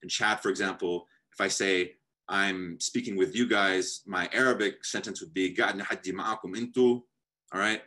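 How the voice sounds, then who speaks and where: A man's voice: sits low, steady tone, fast talker, bright, forceful tone. A man in his thirties talking on a video call panel from a room at home, thoughtful and explaining, sometimes reading from his own draft. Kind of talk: academic panel talk.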